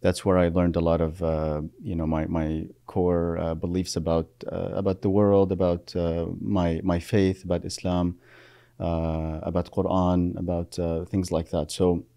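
A man in his thirties from Iraq, a therapist being interviewed in a podcast studio on a boom mic, speaking hesitantly with many fillers and restarts. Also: flat delivery, unhurried, warm tone, clear, resonant tone, no room sound. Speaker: therapist guest. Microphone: studio mic on boom arm.

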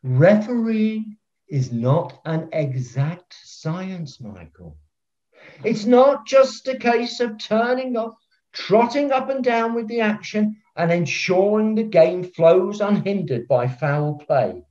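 An older man acting a pompous, eccentric veteran football referee in a filmed comedy, speaking in a deliberate, theatrical, self-important way. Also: high voice, sing-song, a little mellow, full character voice. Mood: grandly self-important. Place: room at home, on a video call. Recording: dull lo-fi video call sound.

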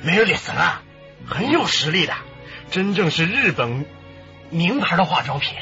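Male, sly boastful hustler, radio drama, rather high voice, very animated, salesman patter. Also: moderate pace, gravelly, full character voice. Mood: sly, wary. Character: wily, boastful middleman peddling smuggled Japanese goods. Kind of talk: Chinese radio drama acting. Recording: dull radio drama audio with background music.

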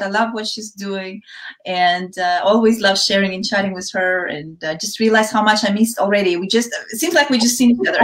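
A middle-aged woman hosting a chat show from home, gushing and bubbly, with many fillers. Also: mid-range voice. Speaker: host. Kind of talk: casual chat. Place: home room with bookshelves. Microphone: video call.

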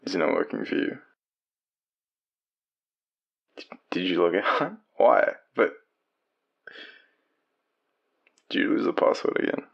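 An Australian man playing a shy, easygoing young man smitten with his friend, speaking haltingly in an intimate audio roleplay. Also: sits low, creaky, slightly rough, dull audio, heavily acted. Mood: awkward, casual. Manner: hesitant, halting, chuckling, stammering.

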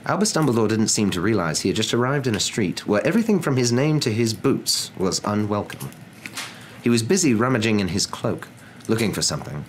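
A young man narrating a story in a soft, dramatic ASMR reading style. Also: lowish voice, big pitch swings, fairly quick, slight background noise. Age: young adult.

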